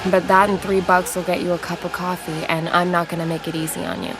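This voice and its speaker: A woman acts a tough, sceptical video game racer. Her voice is on the low side, wry and challenging, with music clearly behind it. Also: little pitch movement, quick pace, close to an everyday voice. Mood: wry challenge. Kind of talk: video game cutscene acting.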